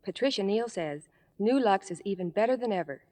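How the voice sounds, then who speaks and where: Female vintage radio guest, medium pitch, chirpy scripted endorsement, from Hollywood. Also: animated, brisk, a little mellow. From Hollywood, California. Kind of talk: scripted 1950s radio interview.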